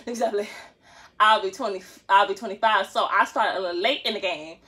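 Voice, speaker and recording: A woman's voice: mid-range voice, fairly quick, sharp tone. A woman, chatting casually and jokingly to camera while stretching in a small room, filmed on a phone set on the floor. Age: 20s. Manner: chatty, joking, lots of laughs and slang. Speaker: dance vlogger.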